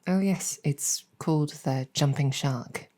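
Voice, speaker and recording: Female demon general, acted by a woman: deep-voiced, mellow, gracious and wry, soft-spoken ASMR roleplay. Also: slow pace. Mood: inviting, earnest. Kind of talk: improvised ASMR roleplay storytelling.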